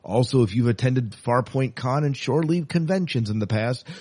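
A man with a medium-pitched voice speaks as a podcast host. His delivery is casual and conversational. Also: lively intonation, moderate pace, dark tone, husky.

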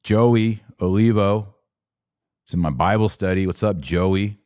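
Very deep-voiced man hosting a podcast. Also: unhurried, a little mellow, clean tone, dry recording, studio quality. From Texas. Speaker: podcast host. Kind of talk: casual live commentary answering audience comments.

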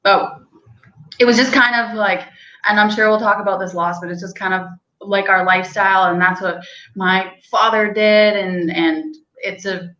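A woman's voice: medium pitch, steady tone, moderate pace, pushed and bright, roomy sound, dull audio. A young woman, a hunting guide and outfitter, telling stories in a casual, rambling way with lots of "like" and light laughter. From Canada. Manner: chatty, rambling, with frequent likes and laughs. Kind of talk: casual interview storytelling.

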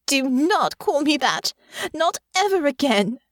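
A woman's voice: high voice, big pitch swings, unhurried, dry recording, clean studio sound, voice pushed up. A woman voicing a proud, wounded young noblewoman in an audiobook, imperious and angry, tightly controlled or sharply snapping. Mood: furious, indignant. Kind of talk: audiobook character acting.

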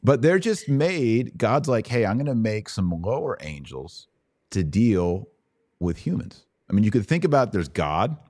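A man's voice: lowish voice, big pitch swings, measured pace, darkish tone, dry recording. A man hosting an informal Bible question-and-answer podcast, explaining things in colloquial language with emphatic repetition. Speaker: podcast host.

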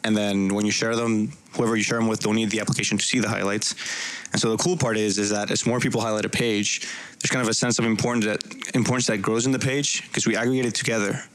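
Young guy from puerto rico on an interview, talks fast, kinda low voice. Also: little pitch movement, a bit bright, no echo, poor audio. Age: young adult. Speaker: guest, startup co-founder. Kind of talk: conversational interview explanation.

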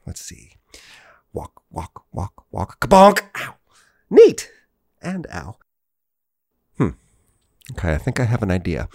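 A man playing an excitable, playful audio-show host who makes his own sound effects out loud. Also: medium pitch, no echo, studio quality. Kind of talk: audio drama acting.

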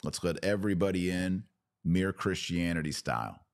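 A man's voice: sits low, lively intonation, normal speed, dry recording. A man hosting a religious podcast, giving emphatic, dogmatic commentary in short punchy phrases with rhetorical questions. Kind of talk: opinionated religious commentary, talking to listeners.

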